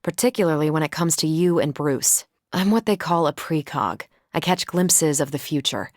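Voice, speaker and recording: A woman's voice: low voice, lively intonation, moderate pace, no echo, clean studio sound. A woman voicing a battle-hardened, self-assured female superhero in a video game, with wry, casual delivery. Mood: serious. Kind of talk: video game character dialogue.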